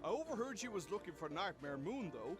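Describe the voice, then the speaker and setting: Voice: medium pitch, big pitch swings, fairly quick, over music, close to an everyday voice. An actor voicing a blunt, confident, folksy cartoon pony with a Southern American accent in a parody dub.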